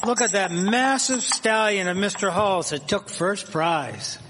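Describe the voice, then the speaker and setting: A man's voice: high-pitched, unhurried, fairly bright tone. A man playing a folksy, appraising livestock farmer of the 1870s in a period radio drama. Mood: admiring. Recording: echoey hall, faint background noise.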